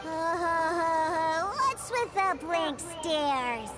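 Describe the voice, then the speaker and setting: A woman's voice: very high-pitched, very slow, heavy background noise, heavily acted. A woman voicing a grumpy young catgirl bartender in an anime game, huffy and exasperated, with music behind her. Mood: impatient, explaining.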